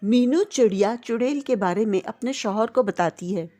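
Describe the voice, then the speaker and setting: A woman's voice: fairly high pitch, normal speed, dark tone. A woman narrating a scripted children's cartoon story.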